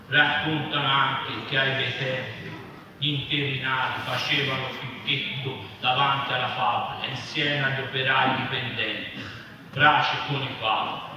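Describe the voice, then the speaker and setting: Slow-reading man in an echoey hall. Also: mid-range voice. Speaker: reader at a public literary reading. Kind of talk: dramatic reading of literary prose poetry aloud. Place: live reading at a festival venue. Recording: echoey noisy hall, distant mic.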